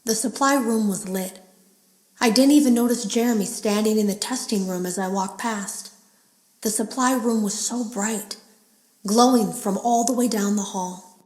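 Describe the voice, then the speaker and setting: A woman's voice: medium pitch, normal speed, darkish tone, natural voice. Echoey, uneasy actress as a female game tester. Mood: somber, troubled. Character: uneasy female game tester confiding in secret audio logs. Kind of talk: scripted audio log monologue in a horror game.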